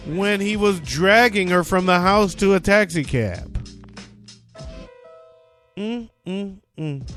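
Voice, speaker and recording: A man's voice: high voice, a bit bright. A man hosting a podcast, reading odd news stories and adding sarcastic, joking asides. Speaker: podcast host. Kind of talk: comic news commentary.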